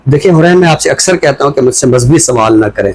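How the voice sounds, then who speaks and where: A man with a Pakistani accent giving reflective talk show commentary in a deliberate, explanatory way, often posing rhetorical questions. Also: medium pitch, animated, a little mellow.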